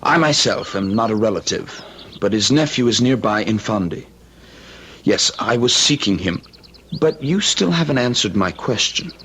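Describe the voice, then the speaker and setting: An actor playing an earnest, inquisitive gentleman and loyal friend in an old-time radio drama, with a formal manner. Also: lowish voice, lively intonation, normal speed, husky, exaggerated voice. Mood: insistent, questioning.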